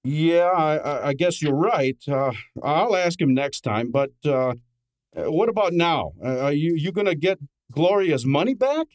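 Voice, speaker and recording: A man's voice: fairly high pitch, lively intonation, a little slow, darkish tone, dry recording. A man playing a brash, cheeky detective's assistant who also narrates, in an informal, wisecracking old time radio drama style. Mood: cheeky, needling.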